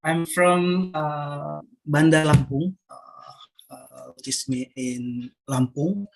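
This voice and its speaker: A man's voice: on the high side, creaky, poor audio. A man from Indonesia with an Indonesian accent, giving a hesitant presentation on a video call, full of fillers and restarts. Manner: halting, many fillers and restarts. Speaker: workshop participant presenting.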